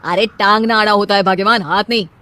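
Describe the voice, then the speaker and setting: A woman's voice: mid-range voice, fairly even intonation, a little fast, sharp tone, natural voice. A woman voicing a teasing young husband in a cartoon story, sarcastic and exasperated.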